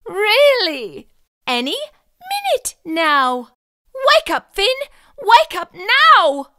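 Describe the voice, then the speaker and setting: A woman's voice: extremely high pitch, pushed and bright, no echo, studio quality, heavily acted. A woman voicing a fussy, neat-freak young male frog who exclaims and frets dramatically in a storybook reading. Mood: panicked. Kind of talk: storybook read-aloud acting.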